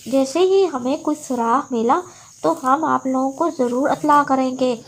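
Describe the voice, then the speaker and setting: A woman's voice: high-pitched, a little slow, a little hiss or hum, pitched above the performer's own voice. A woman voicing a responsible, watchful male pigeon in a children's cartoon, earnest and instructing. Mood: reassuring.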